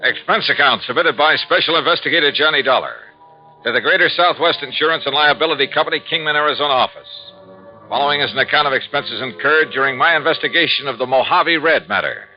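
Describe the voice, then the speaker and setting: A man's voice: a little high, quick pace, natural voice. Male lead actor as a wry private insurance investigator and narrator; lo-fi 1950s radio. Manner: wry, dry, hard-boiled. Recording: dull lo-fi 1950s radio recording with faint music.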